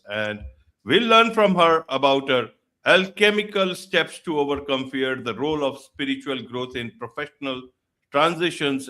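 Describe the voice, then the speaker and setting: A man's voice: medium pitch, pushed and bright. A man hosting an online interview show, speaking formally and repeating his welcomes. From India. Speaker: show host.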